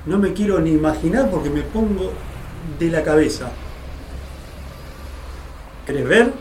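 A man's voice: on the high side, expressive, unhurried, a little mellow. A man with an Argentine accent acting in a radio drama as a sleazy, insistent older man calling lewdly from a car. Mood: leering, insistent. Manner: leering, insistent, lewd. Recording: roomy, distant, faint hiss and ambience.

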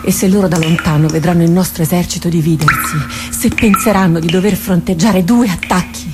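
A woman acting a driven war captain in a radio drama, plotting battle in an intense, deliberate, half-murmured delivery. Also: lowish voice, fairly even intonation, music in the background, natural voice. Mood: feverish, resolute.

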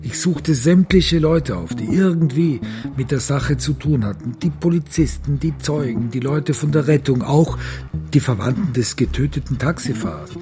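An actor playing a desperate, stubborn father in a radio crime drama, speaking with insistent, deliberate intensity. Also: medium pitch, mellow, soft background music, natural voice. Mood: recollecting. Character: desperate, stubborn father searching for his abducted daughter.

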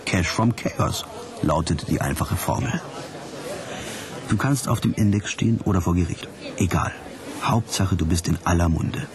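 An actor playing a hard-boiled investigator who narrates his case in a radio drama, sardonic and deliberate. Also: low-pitched, crowd noise, close to an everyday voice.

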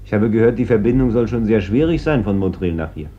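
A man's voice: lowish voice, animated, quick pace, natural voice. A man playing a courteous, earnest young village priest in a German radio play, polite and formal. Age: young adult. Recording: dull, slightly noisy old radio recording.

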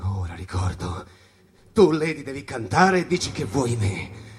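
An actor playing a fervent jazz musician, in an impassioned and insistent theatrical monologue. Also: male voice, mid-range voice, very animated, a little slow. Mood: intense recollection. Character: fervent male jazz musician reliving his glory on stage. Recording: loud music bed under the voice.

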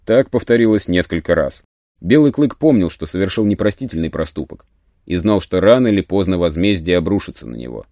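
A man narrating an adventure story in a measured, even storytelling manner. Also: low voice, no echo, studio quality. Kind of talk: scripted narration of a radio play.

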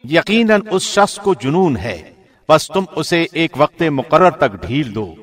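Slightly high-voiced man reading solemn scripture translation. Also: very animated, a bit bright. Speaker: translation reader, radio host.

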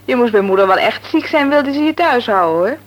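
A woman's voice: fairly high pitch, lively intonation, brisk, close to an everyday voice. An actress playing a chatty, frank, opinionated woman in a Dutch radio play, with an emphatic, reassuring manner. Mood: sociable, reassuring. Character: Maartje, chatty, frank, sociable sister-in-law and family friend. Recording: radio drama recording.

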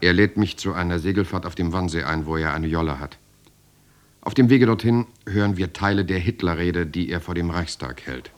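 Actor doing a deep voiced serious writer telling his resistance story, measured radio drama narration. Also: lightly acted. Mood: sober, reflective. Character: sober German writer recounting his resistance years.

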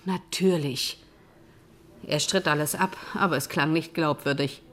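An actress playing a proud, defensive widow under suspicion in a radio drama, controlled and indignant. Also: female voice, low voice, unhurried.

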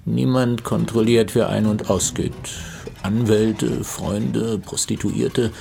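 A man with a gravelly voice narrating a scripted radio documentary in a measured, matter-of-fact way. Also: on the low side, steady tone, warm tone, faint music behind.

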